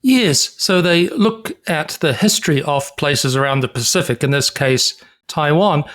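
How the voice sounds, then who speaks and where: Podcast cohost guy, bit high voice, calm explaining. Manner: measured, informative. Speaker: podcast co-host. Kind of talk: casual chat.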